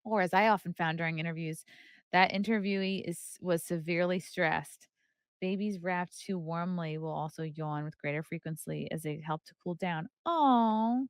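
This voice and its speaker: A woman's voice: on the low side, normal speed, clean tone, no room sound. A woman hosting a podcast, chatting casually and reading aloud from a book with playful, irreverent, flippant asides. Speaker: podcast host.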